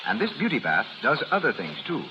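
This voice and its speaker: A man announces on vintage 1930s radio in a medium-pitched voice. He reads advertising copy with polished salesmanship. The broadcast is muffled and clearly noisy. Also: huge pitch swings, fairly quick.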